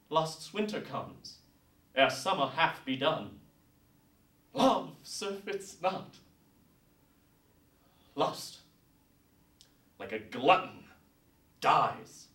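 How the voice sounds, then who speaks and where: A man playing a bashful but disdainful young man in a deliberate, emphatic recital of Shakespeare verse. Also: high voice, sharp tone, heavily acted. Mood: earnest, ashamed. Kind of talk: theatrical Shakespeare verse monologue. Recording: roomy echo, distant mic.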